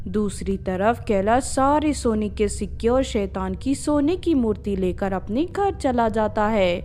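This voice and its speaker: A woman's voice: fairly high pitch, a little hiss or hum. A woman narrating a scripted children's horror story as a storyteller.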